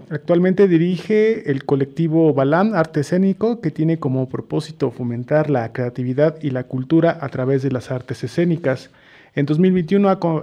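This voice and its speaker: Mellow Mexican radio host, higher-pitched male voice. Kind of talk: live community radio hosting.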